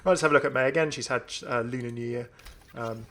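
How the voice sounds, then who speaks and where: A man's voice: mid-range voice, quick pace, a bit bright. A young man giving casual, enthusiastic commentary on a video game. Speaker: gaming video host.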